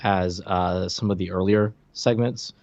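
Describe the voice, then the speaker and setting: A man's voice: deep voice, steady tone, measured pace, a little mellow, no echo. A man in his thirties co-hosting a podcast, giving blunt but hedged opinions in casual chat. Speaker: podcast co-host. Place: indoor room, video call.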